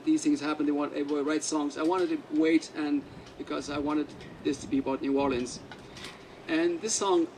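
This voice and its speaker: High-voiced Irish man telling stories. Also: darkish tone, recorded from a distance, slight background noise, poor audio. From Ireland. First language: Irish Gaelic. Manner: rambling, anecdotal storytelling. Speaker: musician. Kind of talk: press conference remarks. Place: press conference.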